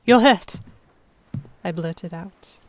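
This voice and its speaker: A woman's voice: lowish voice, very slow. A woman playing an earnest, emotive noblewoman heroine who narrates an audio drama in the first person. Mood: concerned, annoyed. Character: delicate young noblewoman, vexed, earnest, stubborn romantic heroine.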